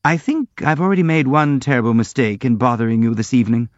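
A man voicing an earnest young prince who speaks in a quiet, subdued way. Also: medium pitch, fast, no room sound, dull audio, close to an everyday voice. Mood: gloomy.

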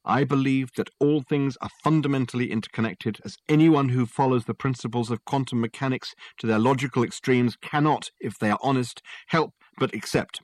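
A man's voice: medium pitch, fairly quick, few pauses, darkish tone, no echo, pitched above the performer's own voice, close to an everyday voice. A man voicing a pompous, theatrical detective in a storybook, with elaborate, insistent phrasing. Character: pompous, theatrical, probing male private detective. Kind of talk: audiobook character dialogue.

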